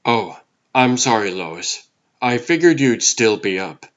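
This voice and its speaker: A man's voice: mid-range voice, animated, slow, fairly bright tone, highs cut off. A man playing a mild, awkward, apologetic reporter with a hesitant manner in a comic book dub.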